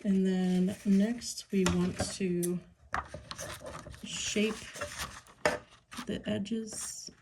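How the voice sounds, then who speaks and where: A woman with a Pittsburgh accent hosting a casual craft tutorial at home, talking in an unhurried, chatty way with many ums and small delighted asides. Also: medium pitch, fairly even intonation, background noise.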